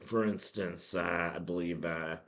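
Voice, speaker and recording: A man with a hoarse voice from a cold, hosting a casual math lesson and explaining things with many ums, uhs and restarts. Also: deep voice, steady tone, a little slow, a bit bright. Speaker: math channel host.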